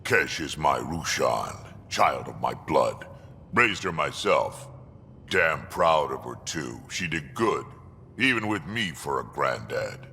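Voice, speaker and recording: An actor playing an ancient, blunt alien mercenary and grandfather with a gravelly voice in a video game. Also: very deep voice, sing-song, slow, sharp tone, in a large hall, slight background noise, exaggerated voice. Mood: gruff pride. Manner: blunt, coarse. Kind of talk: video game character dialogue.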